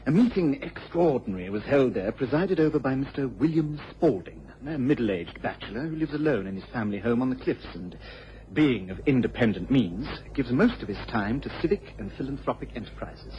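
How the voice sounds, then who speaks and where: A man's voice: mid-range voice, lively intonation, brisk, natural voice. A man playing an earnest, respectful young English police inspector in a radio drama. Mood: grave, respectful. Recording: dull vintage radio recording, faint noise.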